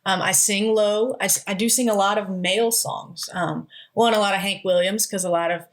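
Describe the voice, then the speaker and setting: A woman's voice: mid-range voice, fairly even intonation, normal speed. Music therapist lady chatting on a podcast, middle voice, open and laughy. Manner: candid, laughs easily, stumbling restarts. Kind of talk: casual interview chat.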